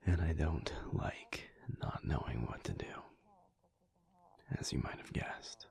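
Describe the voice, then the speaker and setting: Low, slow, whispering alpha werewolf played by a man. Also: very animated, full character voice. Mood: weary, frustrated. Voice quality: hushed, breathy whisper. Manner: slow, halting, pensive. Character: weary, troubled alpha werewolf pack leader confiding in his partner. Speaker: audio roleplay performer. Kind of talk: intimate audio roleplay monologue.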